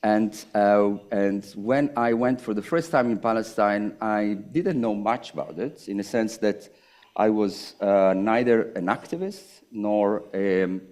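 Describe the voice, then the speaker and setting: Panel speaker: middle-aged man, slightly low pitch, halting and thoughtful, lots of pauses. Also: darkish tone. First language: Italian. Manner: halting, hesitant, with many pauses and restarts. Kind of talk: reflective public conversation.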